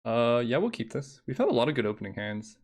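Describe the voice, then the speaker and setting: A man's voice: medium pitch, sing-song, quick pace, darkish tone. A young man streaming a card game, talking casually in a relaxing voice, thinking aloud and counting under his breath.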